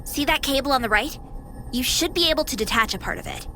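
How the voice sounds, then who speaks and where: A woman's voice: sits high, animated, fast talker, pushed and bright, slight background noise, exaggerated voice. A woman playing a perky female AI companion in a video game, coaching and dryly teasing. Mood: brisk, instructive. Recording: music behind the voice.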